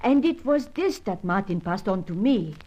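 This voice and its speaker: A distressed European widow in an old radio adventure serial. She speaks in a medium-pitched voice with formal, careful phrasing. Her delivery is fearful, confiding and melodramatic. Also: very animated, normal speed, lo-fi sound. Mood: grave, explaining.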